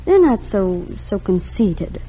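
A breathy, coy teenage girl. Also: mid-range voice, big pitch swings, takes it slowly, full character voice. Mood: sweet. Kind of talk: 1930s radio drama acting. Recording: dull lo-fi old radio recording.

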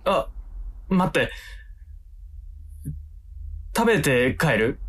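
A shy, kindly young man in a radio comedy. He speaks very slowly and haltingly. His pitch swings widely, with a dry, awkward edge. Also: sing-song, fairly bright tone, slightly rough, full character voice. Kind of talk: comic radio drama acting.

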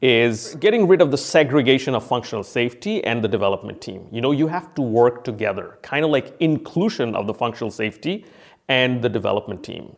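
A man's voice: medium pitch, darkish tone. Emphatic male podcast host explaining. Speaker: podcast host and functional safety consultant and trainer. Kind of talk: conversational expert explanation on a podcast.

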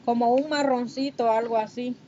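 A woman's voice: fairly high pitch, steady tone, moderate pace. A woman with a Honduran Spanish accent chatting casually with viewers on a livestream. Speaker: livestreamer chatting with viewers while doing makeup. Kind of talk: casual chat reading and answering comments. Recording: muffled, noisy livestream audio.

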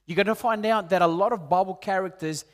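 A man in his late forties from Peru, preaching a sermon in an earnest way, rising loud on key points and dropping soft and low. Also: fast. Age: 40s. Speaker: pastor.

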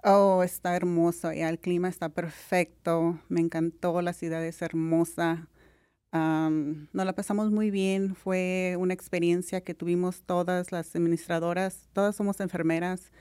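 Mexican-accented woman, middle-aged, smooth flat low delivery, relaxed guest with fillers. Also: unhurried, no echo, studio quality. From Mexico (Jalisco). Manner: relaxed, many "am" fillers, mixes English words. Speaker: guest, registered nurse. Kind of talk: casual interview.

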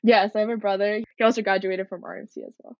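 A woman's voice: mid-range voice, steady tone, fast talker, gravelly, darkish tone, dry recording, dull audio. A young woman from Canada, a military cadet, answering interview questions in an earnest, reflective way. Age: young adult.

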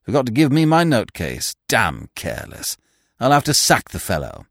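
A man's voice: medium pitch, huge pitch swings, moderate pace, creaky, no room sound, clean studio sound, heavily acted. A man voicing a jovial, hearty sponger in a storybook, with effusive, exclamatory old-chap friendliness. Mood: chummy, wheedling.

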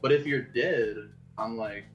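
An American man chatting casually on a podcast, telling personal stories in an animated, rambling, slangy way and swearing freely. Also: medium pitch, unhurried, lo-fi sound, soft background music. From Los Angeles area, United States. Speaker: podcast host.

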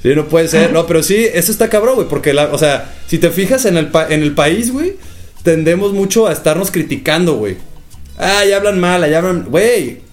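A young man with a Guadalajara Mexican accent, chatting casually on a podcast, talking fast and swearing freely. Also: on the high side, lively intonation, faint music behind. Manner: rapid, slangy, swears a lot. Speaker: podcast co-host.

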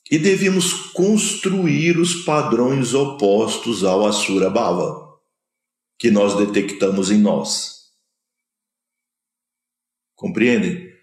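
Slow, low-voiced teacher with a Minas Gerais accent. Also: male voice, echoey room. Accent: Brazilian Portuguese, Minas Gerais. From Minas Gerais, Brazil. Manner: slow, measured, pausing between phrases. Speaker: spiritual teacher. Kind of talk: spoken lecture on scripture.

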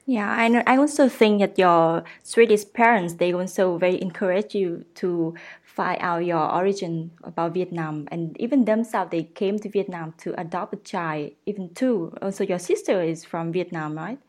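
A woman's voice: fairly low pitch, normal speed, few pauses. A woman interviewing a guest, asking questions in an encouraging way. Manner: encouraging, frequent backchannel murmurs.

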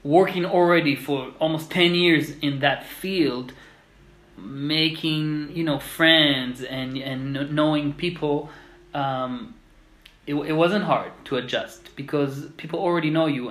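A man in his thirties from Israel, speaking as a podcast guest in short, halting, thoughtful phrases. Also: on the high side, a bit bright. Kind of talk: conversational interview. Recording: roomy echo.

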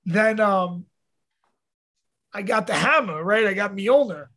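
High-voiced New man chatting. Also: lively intonation, slow pace, a bit bright. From New York. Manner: rambling, swears freely, acts out his own quotes. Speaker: podcast host, mixed martial arts fighter. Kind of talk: casual profane chat.

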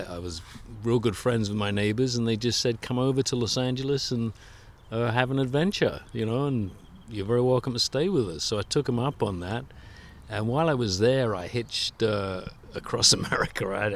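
Older English man, low self-mocking storyteller. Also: moderate pace, slight background noise. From England. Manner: self-deprecating, chuckling anecdotes. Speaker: guest author. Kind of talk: relaxed storytelling interview.

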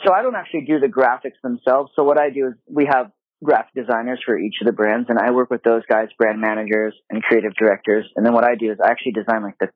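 A man in a relaxed interview who grew up in Japan, talking through his work as an apparel designer with lots of casual fillers. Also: mid-range voice, fast, no room sound. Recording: dry, phone-like remote call audio.

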